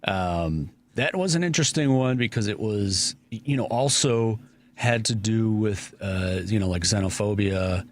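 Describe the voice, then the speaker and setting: Low-voiced man hosting talk radio. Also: a little slow. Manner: conversational, many fillers and restarts. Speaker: radio show host. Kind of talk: casual talk radio discussion.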